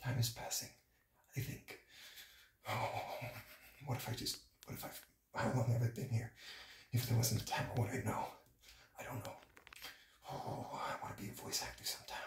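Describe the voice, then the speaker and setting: A man's voice: medium pitch, flat delivery, far from the mic. Shivering young man whispering in a bathtub. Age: early 20s. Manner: whispery, breathy and halting from the cold. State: shivering in cold water. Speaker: vlogger. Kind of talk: shivering self-talk vlog. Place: small bathroom, sitting in a bathtub. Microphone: phone camera held close. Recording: echoey bathroom, noisy phone audio.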